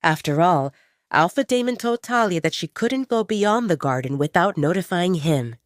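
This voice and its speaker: A woman's voice: on the low side, animated, normal speed, no echo. A woman reading scripted narration as the storyteller of an audio drama.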